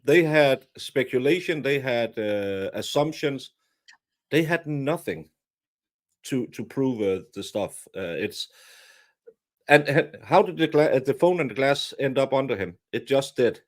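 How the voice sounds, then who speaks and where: Halting man giving opinions. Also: medium pitch, lively intonation. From Denmark. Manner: halting, repeats words, frequent fillers. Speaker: guest commentator. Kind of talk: casual opinion chat.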